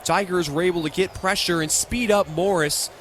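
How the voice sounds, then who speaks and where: A man's voice: high voice, steady tone, normal speed, a bit bright, slight background noise. An American man doing live radio play-by-play of a football game in clipped, present-tense phrases.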